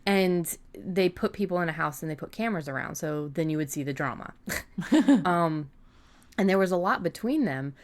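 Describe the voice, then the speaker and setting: Casual, rambling woman with a lowish voice. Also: moderate pace. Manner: rambling, informal, self-correcting. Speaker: podcast co-host. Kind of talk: casual writing-advice discussion.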